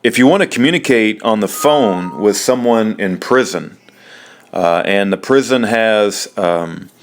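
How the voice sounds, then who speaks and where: A man talking through an informal how-to, explaining step by step in a halting way and repeating himself. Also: lowish voice, animated. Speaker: presenter of a how-to video. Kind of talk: informal spoken tutorial, step by step.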